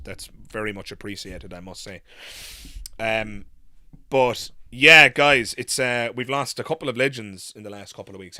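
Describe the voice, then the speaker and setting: Male livestreamer, 30s: lowish, bright, forward voice, rambling and reflective, casual chat with emphatic repeats. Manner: musing, rambling, emphatic repetition. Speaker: livestream podcast host.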